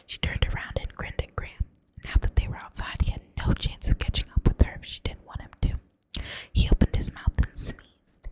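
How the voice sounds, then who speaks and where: A woman with a Southern US accent reads a novel aloud in a soft ASMR whisper. She stumbles on words now and then and corrects herself without breaking the hush. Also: normal speed, low-quality recording. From Southern United States. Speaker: reader.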